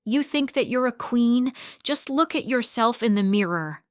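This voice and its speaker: A woman's voice: fairly high pitch, animated, normal speed, no echo, clean studio sound, pitched above the performer's own voice, lightly acted. A woman reading the lines of a young actress who screams insults in a fight. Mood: screaming.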